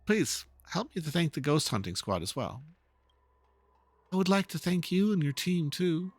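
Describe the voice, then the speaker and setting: A man's voice: fairly high pitch, very animated, moderate pace, no echo, voice pushed up. A man voicing a gracious, formal and wistful woman in a dramatic reading of game dialogue. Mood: grateful. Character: gracious, wistful adult woman, a dignified mother.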